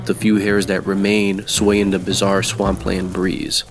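A man's voice: sits low, completely flat delivery, a little slow. A man narrating an audio drama in the style of old-time radio noir.

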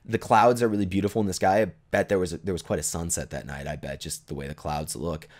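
A man in his thirties casually talking through viewer photos at home, relaxed and enthusiastic, with lots of fillers. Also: low-pitched, quick pace. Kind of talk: casual chat. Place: bright living room at home. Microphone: desk condenser mic in frame.

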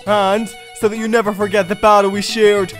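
A woman's voice: mid-range voice, little pitch movement, a little fast, natural voice. A woman voicing a flamboyant, gracious male gym leader with a theatrical, florid manner in a video game fan dub. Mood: proud, formal. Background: game music.

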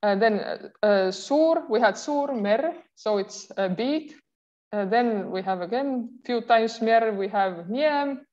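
A woman's voice: on the high side, expressive, slow, a little mellow. A woman in her thirties with an Estonian accent, giving an online university lecture with frequent filler sounds and restarts. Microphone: video call. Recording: muffled video call audio.

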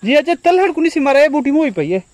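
A man's voice: very high-pitched, very animated, fast, a little mellow, no room sound. A man explaining farm work in casual chat while walking outdoors on a farm.

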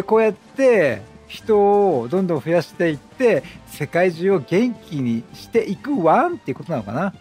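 A man's voice: sits high, darkish tone. A man doing casual gameplay commentary, chatty and full of quipped reactions. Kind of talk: casual let's-play commentary, reading dialogue aloud. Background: game music.

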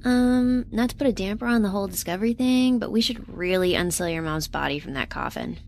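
A woman playing an earnest, excitable young intern who talks casually and emphatically in an audio drama. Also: mid-range voice, fairly quick, a little hiss or hum, lightly acted. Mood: tentative. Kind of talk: naturalistic audio drama acting.

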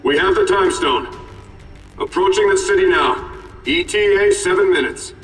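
An actor playing an earnest, commanding superhero in a game cutscene, reporting tersely like a soldier. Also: male voice, sits high, normal speed, fairly bright tone. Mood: steady urgency. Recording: echoey, distant comm call, faint music.

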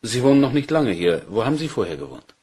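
An actor playing a polite, probing police inspector in a crime radio play, questioning in a measured, courteous way. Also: male voice, medium pitch, sing-song, mellow, slightly rough.

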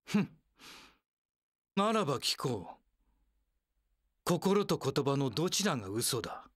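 A man's voice: on the high side, big pitch swings, takes it slowly, fairly bright tone, slightly rough, no echo, studio quality, heavily acted. An actor playing a cold, haughty warlord strategist in an action game, imperious, clipped and full of scorn for his underlings. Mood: scornful. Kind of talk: Japanese action game voice acting.

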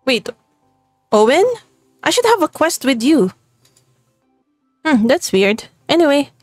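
A woman's voice: high voice, big pitch swings, slow pace, dry recording, clean studio sound, soft background music. A young Filipino woman with a Tagalog background, chatting cheerfully as she plays a game and talks to her viewers, with frequent laughs. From Philippines. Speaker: gaming channel host. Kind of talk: let's play commentary.

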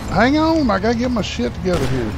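A man's voice: very high voice, wildly swooping pitch, fast talker, over music. A man chatting casually as he plays a video game, muttering, grumbling and swearing. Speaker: gamer. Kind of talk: casual chat while gaming. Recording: game engine and car noise. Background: loud car engines from a video game.

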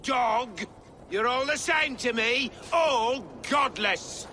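A proud Saxon king, high and piercing, who speaks slowly and with open contempt. His commanding delivery comes over faint game ambience. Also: bright, forceful tone, slight background noise, full character voice. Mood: scornful. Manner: scornful, commanding. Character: proud defiant Saxon king, older man.

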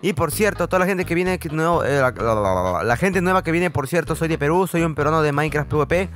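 Young male gaming host, brash and profane, voice on the higher side, faint music behind. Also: fairly quick. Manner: excitable, swearing, trolling banter. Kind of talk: casual gameplay commentary.

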